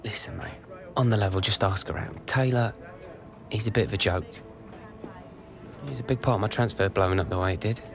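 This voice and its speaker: A man's voice: on the low side, brisk, vocal fry, long pauses. An actor playing a blunt, chummy young male footballer in a video game cutscene.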